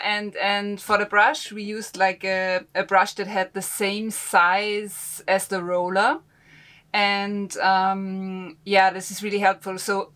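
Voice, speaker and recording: A woman's voice: mid-range voice, fairly bright tone. A young woman, a sailing vlogger, chatting to camera about a boat project and prompting her partner, seated in a wooden boat cabin. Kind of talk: casual explanation to camera.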